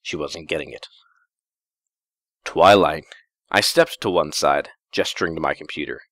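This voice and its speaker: Low-voiced man reading fiction, measured. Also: vocal fry, bright, forceful tone, dry recording, studio quality. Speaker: story narrator. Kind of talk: dramatic first-person fiction reading.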